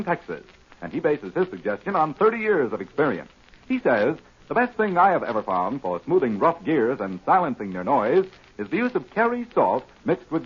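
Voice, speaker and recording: A man's voice: fairly high pitch, slightly rough. An American man reading a 1940s radio advertisement as a commercial announcer. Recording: muffled old radio recording.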